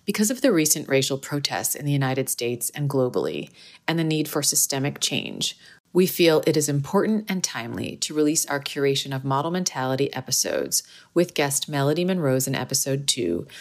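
A woman psychiatrist reading a scripted podcast introduction and disclaimer in a measured, formal way. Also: extremely low pitch.

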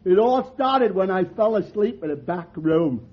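An actor playing a dim-witted, cheerful barfly in 1940s radio comedy, with a slow, dopey and innocent delivery. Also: male voice, sits high, expressive. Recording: lo-fi old radio broadcast with hiss.

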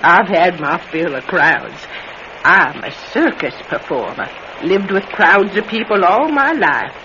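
A woman's voice: lowish voice, sing-song, unhurried. A woman playing a sprightly, independent old widow, chatty and folksy in an old-time radio drama. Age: older. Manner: folksy, chatty, muttering to herself. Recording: noisy, muffled old radio recording.

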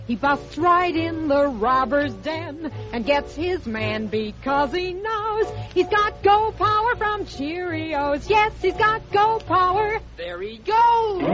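Female jingle performer, very high pitch, unhurried, dull vintage recording, clear music. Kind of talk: sung 1950s radio advertising jingle.

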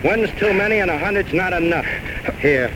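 A man's voice: high-pitched, moderate pace, close to an everyday voice. Man acting a blunt, no-nonsense bartender. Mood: stern. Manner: blunt, scolding. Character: gruff, no-nonsense male bartender refusing a drunk. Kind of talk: 1940s radio drama acting. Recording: noisy old radio broadcast.

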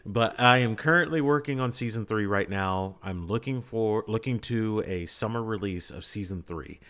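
Low-voiced man, emphatic podcast guest. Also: normal speed, a bit bright. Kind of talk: casual chat.

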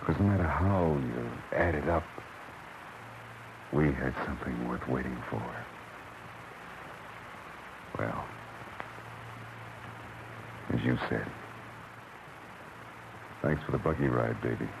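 A man's voice: a bass voice, measured pace, gravelly, long pauses, background noise, heavily acted. An American man playing a tough, weary private detective in a terse 1940s radio drama style, narrating and speaking in scenes. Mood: tender, bitter. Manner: terse, hard-boiled delivery. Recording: vintage radio recording with music.

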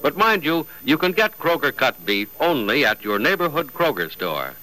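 A man's voice: a little high, very animated, normal speed, bright, forceful tone, low-quality recording. A man announcing in a polished, emphatic 1940s radio style, reading scripted narration and sponsor copy.

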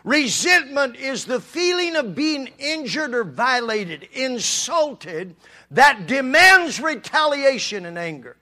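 A man preaching a sermon, swinging from quiet talk to loud, shouted passages. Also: very high voice, very animated, slow. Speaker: pastor.

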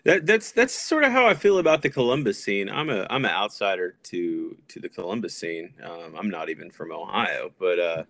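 American male podcast guest, thirties, deep voice with a bright edge, dry close sound, casual and hesitant. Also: lively intonation, normal speed, vocal fry, hardly any pauses, dry recording. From Texas, United States. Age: 30s. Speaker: podcast guest, punk music promoter. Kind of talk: casual chat.

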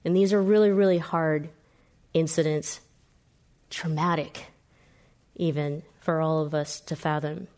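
A woman moderating a panel in a solemn, measured way. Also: sits low, steady tone, a little mellow.

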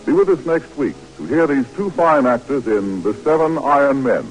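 A man's voice: medium pitch, expressive, moderate pace, poor audio. A man with an American accent announcing a 1940s radio program, reading a script in a formal, declamatory style. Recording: vintage 1940s radio broadcast recording.

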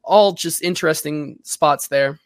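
A man's voice: a little high, unhurried, no echo. A man in casual sports talk on a podcast, speaking in an easygoing, conversational way.

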